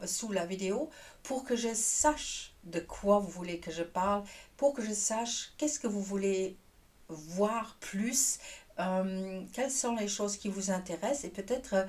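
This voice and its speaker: A woman with a Luxembourgish accent, a native Luxembourgish speaker, introducing herself on her channel as a tarot reader and pausing often to find her French words. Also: medium pitch, darkish tone. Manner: hesitant, searching for French words with restarts. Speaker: tarot reader and energy worker. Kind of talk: casual YouTube introduction.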